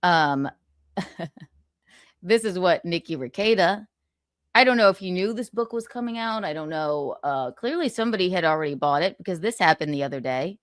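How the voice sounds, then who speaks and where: A woman's voice: on the low side, animated, moderate pace, dry recording, clean studio sound. A woman streamer reads from a book aloud and breaks off for sarcastic comments and chuckles. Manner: sarcastic asides, frequent chuckles; thanks viewers for donations mid-read.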